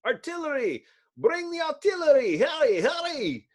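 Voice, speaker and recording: A man's voice: extremely high pitch, big pitch swings, moderate pace, pitched above the performer's own voice. A man voicing an alarmed French soldier in a comic book story, exclaiming melodramatically. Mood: frantic. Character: panicked French male soldiers in the desert.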